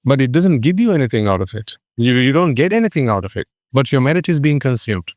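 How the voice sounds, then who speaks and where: Sri male dharma teacher, mid pitch, questioning, dry close recording. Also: very animated, fast talker, a little mellow, no echo. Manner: rhetorical questions to the audience. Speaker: Buddhist teacher. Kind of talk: dharma talk.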